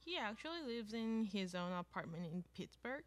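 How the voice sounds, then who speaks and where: A chatty young friend played by a woman tells a holiday tale in radio theater. Her voice sits at an average pitch, with a conversational storytelling delivery. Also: sing-song, moderate pace, close to an everyday voice.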